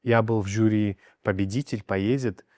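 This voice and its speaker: Man, Abkhaz accent, interview host, friendly questions, low voice, dry sound. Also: steady tone, moderate pace, a little mellow, no room sound.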